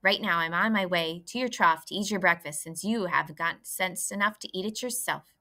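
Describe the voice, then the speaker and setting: A sly, greedy rat in a storybook, sour and sneering, with loud, scornful emphasis. A woman voices him with a bright edge. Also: fairly low pitch, moderate pace.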